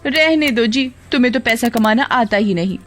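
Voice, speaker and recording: A woman voicing a discontented, sharp-tongued young wife in a cartoon story, complaining and scolding. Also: a little high, expressive, normal speed, close to an everyday voice. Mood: nagging, fed up. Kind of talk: Hindi cartoon story voice acting. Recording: background music.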